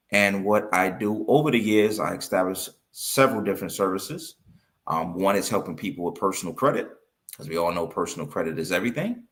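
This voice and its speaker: Guy in his 50s from new york, low voice, chatting as an interview guest, tells stories. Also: a bit bright. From Brooklyn, New York. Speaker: interview guest, accountant and business owner. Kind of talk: conversational interview.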